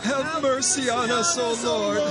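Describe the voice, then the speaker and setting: Man as high-pitched, desperate blind beggar. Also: a loud crowd. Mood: desperate imploring. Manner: imploring, drawn-out cries. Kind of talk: dramatized scripture reading.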